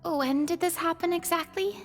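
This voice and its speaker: An actress voicing a gentle, earnest, small young female character in an anime game, speaking politely and precisely. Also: very high-pitched, moderate pace. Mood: curious, earnest. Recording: long reverb, faint music behind.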